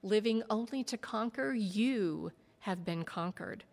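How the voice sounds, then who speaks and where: A woman voicing a calm male angel who speaks softly and slowly, heard in a reverberant hall. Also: mid-range voice, vocal fry. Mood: gentle. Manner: soft, quiet, slow. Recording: long reverb of a big hall.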